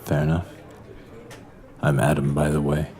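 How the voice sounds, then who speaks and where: An actor playing a hard-drinking, lustful barfly in a noir audio drama, with a slow, breathy, brooding delivery. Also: male voice, extremely low pitch, completely flat delivery, warm tone. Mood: flirting. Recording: music bed behind the voice.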